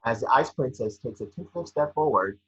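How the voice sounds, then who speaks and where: A man with a husky voice reading scene descriptions at a table read, sometimes stumbling over the script. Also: mid-range voice, animated, a little fast, dull audio.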